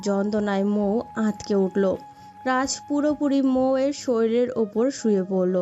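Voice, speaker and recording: A woman's voice: fairly high pitch, moderate pace, mellow. A woman reading a scripted story as its narrator. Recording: dull muffled sound with music behind.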